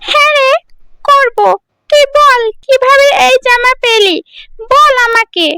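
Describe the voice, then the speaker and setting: A woman voicing a nosy, haughty young village girl in a children's cartoon, with a shrill, needling manner. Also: slow, sharp tone, slight background noise, exaggerated voice. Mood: eager, wheedling. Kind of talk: children's cartoon fairy-tale voice acting.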